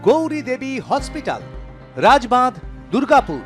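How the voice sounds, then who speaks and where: Animated high-voiced male ad announcer. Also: normal speed, over music. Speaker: advertisement announcer. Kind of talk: scripted ad tag with contact number.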